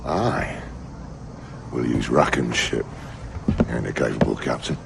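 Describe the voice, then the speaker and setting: An actor playing a hot-tempered, defiant pirate captain in a video game, with a gravelly voice and coarse, scornful manner. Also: male voice, very deep voice, takes it slowly, very noisy, heavily acted. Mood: conspiratorial. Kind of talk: video game cutscene acting. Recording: loud music under the dialogue.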